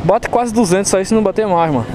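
Excited young Recife biker, high voice. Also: male voice, fast, crowd noise. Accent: Pernambuco Brazilian Portuguese. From Recife, Brazil. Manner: excited, slangy, hype-driven. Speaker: motorcycle vlogger. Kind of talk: casual slangy vlog chat. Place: indoor motorcycle showroom. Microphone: chest-mounted action camera.

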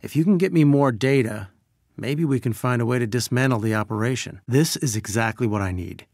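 An actor playing an earnest, brainy scientist and weary resistance leader, giving articulate, measured mission briefings in a video game. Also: male voice, medium pitch, gravelly, darkish tone, no echo, studio quality. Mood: friendly, businesslike.

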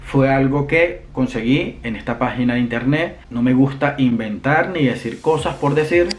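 A man's voice: medium pitch, normal speed, a little hiss or hum. A young man from Venezuela with a Venezuelan accent, talking earnestly to camera as a vlogger from a room at home, gesturing with his hands. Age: young adult. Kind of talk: casual explainer to camera.

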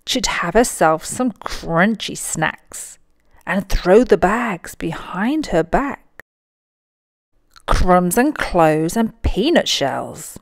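A woman reads a picture book aloud for young children. Her delivery is extremely unhurried and deliberate, with lively, wide-ranging intonation and dramatic emphasis. The sound is dry and close. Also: on the low side, no echo.

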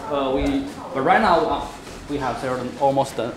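A man in his thirties with a Chinese accent and a mid-pitched voice shows visitors around his company. His English is halting and simple, picked up by a camera mic in a noisy office. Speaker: company representative giving a tour. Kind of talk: casual unscripted product tour. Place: open-plan office, indoors. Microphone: camera mic at short distance.

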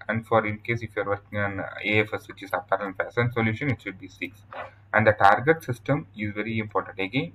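A man with an Indian accent presenting a software tutorial in a halting, explanatory way over slides. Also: fairly low pitch, rough recording. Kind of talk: informal scripted-outline tutorial narration over slides.

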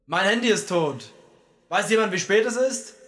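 Ein Mann spricht einen besorgten, fragenden Gefährten in einer Hörgeschichte. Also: male voice, high voice, lively intonation, moderate pace, fairly bright tone, voice pushed up. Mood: questioning. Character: anxious, questioning male companion. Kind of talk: audiobook character voice.

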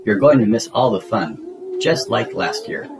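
A man's voice: on the low side, expressive, normal speed, slight background noise, close to an everyday voice. A man voicing a bossy, scornful little girl in a storybook, with emphatic scolding.

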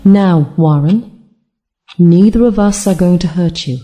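An actress plays a calm woman police interviewer in an audio drama. Her voice is fairly low and mellow, measured and coaxing. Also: soft background music, natural voice.

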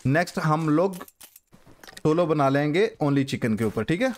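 A man, live-streaming a game while chatting with his viewers. He talks at an unhurried pace, a little higher-pitched than most men. He is heard through a headset mic in a small room at home. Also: expressive. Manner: switches between Urdu and English, bantering with chat. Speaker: gaming streamer.

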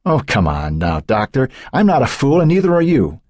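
A man reading the part of a cold, sly woman who is a hidden second personality, speaking in a husky voice. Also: mid-range voice, huge pitch swings, normal speed, a little mellow, no echo, studio quality, pitched above the performer's own voice. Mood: taunting. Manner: taunting, sly, icy.

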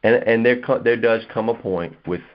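A man's voice: mid-range voice, moderate pace. A man talking casually and conversationally as a co-host of a sales coaching conference call. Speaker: co-host playing a customer in sales role-play. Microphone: conference call line. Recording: dull, lo-fi conference call audio.

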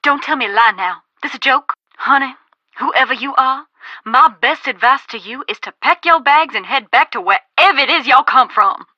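A woman's voice: sits high, moderate pace, pushed and bright, no echo, lo-fi sound. An actress playing a brusque, sardonic Southern American woman reporter in a video game, folksy and blunt, heard through a phone filter. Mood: brusque, impatient.

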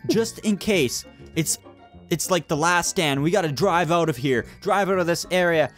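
A young man chatting casually and excitably with friends as he hosts a gameplay video. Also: fairly high pitch, normal speed. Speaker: gaming video host. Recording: game music behind the voice.

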